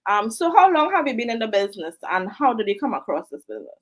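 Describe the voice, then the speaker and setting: A woman's voice: a little high, big pitch swings, fast. A woman hosting an online interview, chatty and laughing often. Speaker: host.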